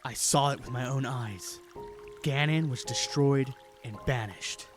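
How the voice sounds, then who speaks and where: A man voicing a steady, protective young knight in an audio drama, speaking in short, plain lines. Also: medium pitch, a little slow, close to an everyday voice. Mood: firm. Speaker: voice actor. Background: music.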